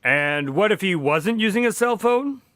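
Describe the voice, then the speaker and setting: A man's voice: high-pitched, expressive, normal speed, fairly bright tone, no room sound, studio quality. A man playing a detective's skeptical doctor sidekick in a radio drama, wry and often exasperated. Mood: incredulous. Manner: wry, incredulous.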